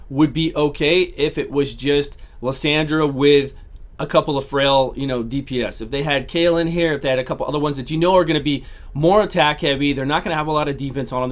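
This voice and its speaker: A man casually commentating his own gameplay, talking through each battle and repeating his phrases, his voice starting to go hoarse. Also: a little high, a bit bright. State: voice going hoarse. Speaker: gaming channel host.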